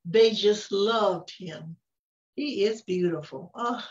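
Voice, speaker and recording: An older woman reads a picture book aloud at an unhurried pace, leaning into key words with expressive emphasis. Her voice sits at an ordinary pitch for a woman. The recording is a little dull and lo-fi. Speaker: story reader. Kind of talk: picture-book read-aloud with casual asides.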